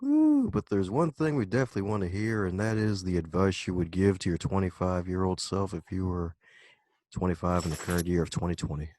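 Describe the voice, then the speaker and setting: A man's voice: low voice, expressive, fairly quick, darkish tone, no room sound. A man hosting a podcast interview, upbeat and playful, repeating his catchphrases. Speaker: podcast host. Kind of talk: casual interview chat.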